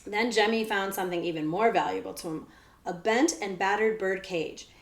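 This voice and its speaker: A woman librarian reading a children's story aloud, pausing for casual explanations. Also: medium pitch. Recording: echoey room sound.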